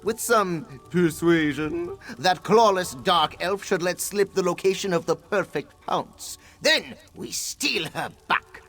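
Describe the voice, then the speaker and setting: A man's voice: high-pitched, lively intonation, slow, hardly any pauses, a bit bright, soft background music, full character voice. A man voicing a boastful, theatrical cat-man pirate captain in a video game, honorable and given to speaking of himself in the third person. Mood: earnest, determined.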